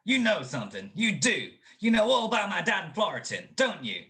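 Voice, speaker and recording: A man playing a dopey, impulsive young ex-college football player in a radio-style comedy mystery, blustering and excitable. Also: sits high, brisk, exaggerated voice. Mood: suspicious, blustering.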